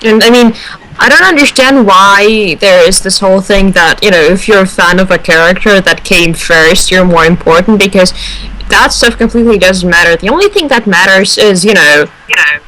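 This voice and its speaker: Woman, medium pitch, very bright tone, casual panel talk, thoughtful and explanatory. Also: moderate pace, low-quality recording. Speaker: radio show panelist. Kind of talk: casual fan discussion.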